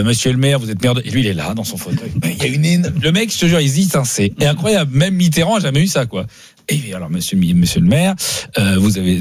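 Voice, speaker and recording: A man hosting a radio show, speaking in casual slang with bantering, animated storytelling. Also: medium pitch, slightly rough, no echo, clean studio sound. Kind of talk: casual bantering talk and comic anecdotes.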